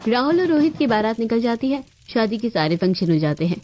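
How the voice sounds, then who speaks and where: A woman's voice: a little high, sing-song, a little fast, warm tone. A woman reading scripted narration for a cartoon family story. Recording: dull lo-fi audio with music behind.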